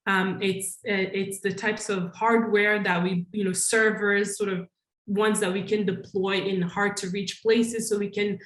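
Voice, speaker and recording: A woman from Congo speaks at a conference in a mid-range voice. She talks in a thoughtful, searching way, pausing with fillers and reworking her sentences. Her voice carries a noticeable room echo. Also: mid-range voice, flat delivery, fairly bright tone. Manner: thoughtful, with frequent restarts and fillers. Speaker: conference panelist. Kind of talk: conference talk.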